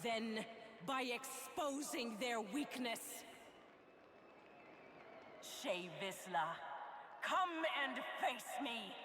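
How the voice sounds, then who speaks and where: A defiant female warrior leader, high-voiced and slow, delivering a grave rallying speech. She declaims fiercely over crowd noise and a noisy game scene. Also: expressive. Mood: rousing defiance. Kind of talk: dramatic rallying speech, video game acting.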